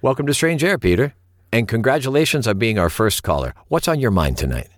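An actor playing an earnest, courteous host of a late-night paranormal radio show, speaking in a measured, solemn and reassuring way. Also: male voice, mid-range voice, very animated, no room sound, clean studio sound, natural voice. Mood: attentive, sympathetic. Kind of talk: late-night radio monologue and call-in hosting.

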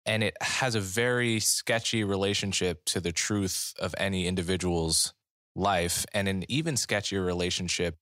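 A man hosting an interview, asking long, deliberate questions at a measured pace. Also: low-pitched, a bit bright, dry recording, clean studio sound. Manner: measured, deliberate, reads aloud slowly. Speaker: podcast host.

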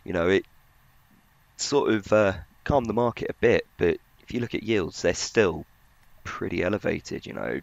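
A man's voice: deep voice, normal speed, vocal fry, dry recording. A man giving conversational market commentary as a journalist on a podcast, in slightly muffled audio. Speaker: financial journalist, podcast panellist.

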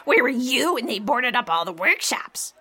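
A woman playing a sly old beggar widow in a fantasy audio drama, raspy and creaky, wheedling and sarcastic in a theatrical, folksy way. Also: fairly high pitch, sing-song, moderate pace, bright, forceful tone, full character voice. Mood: scornful, needling. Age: older. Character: sly old beggar widow, mock-pitiful, salty-tongued.

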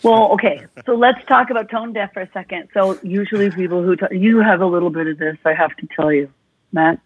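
Female vocal coach guest, animated and demonstrative, husky tone, dry tinny phone-line sound. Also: medium pitch, brisk, dry recording. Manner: expressive, talks with her hands.

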